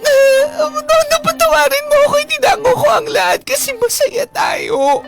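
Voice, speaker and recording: A man's voice: very high voice, expressive, measured pace, gravelly, pitched above the performer's own voice, exaggerated voice. A man playing a guilt-ridden wife who pleads tearfully in an improvised radio melodrama. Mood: tearful pleading. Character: guilt-ridden, pleading wife confessing a long-kept secret. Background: background music.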